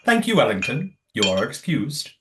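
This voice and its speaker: A man's voice: medium pitch, sing-song, moderate pace, background noise, close to an everyday voice. A man playing a courteous master of a secretive old manor in an audio play, with formal, precise wording.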